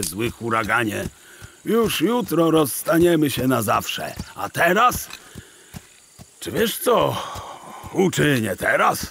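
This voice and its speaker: A man's voice: mid-range voice, creaky, a bit bright, slight background noise, full character voice. An actor playing a kindly, exasperated old scholar in an audio drama, wordy and theatrical as he pleads and mock-scolds.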